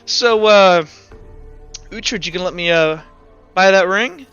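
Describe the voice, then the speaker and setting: A brash, mocking young woman adventurer from a tabletop game, played by a man in his own lightly raised voice. The delivery is blunt and halting, bright and clear, over faint music on slightly muffled audio. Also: high voice. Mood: casual, demanding. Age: teenager.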